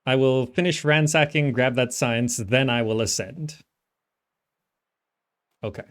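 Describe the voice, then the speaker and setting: Slow-paced man thinking aloud over a game. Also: medium pitch. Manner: thinking aloud while playing. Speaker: streamer playing a strategy game. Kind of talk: casual live gameplay commentary.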